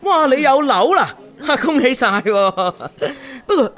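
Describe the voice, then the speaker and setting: A boastful young heir in a radio drama, speaking in a high, bright, sharp voice with a Hong Kong accent. He is showy and condescending, tossing in smug tags as he goes. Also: lively intonation, slow, exaggerated voice. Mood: showing off. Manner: showy, smug, peppers talk with tags and English.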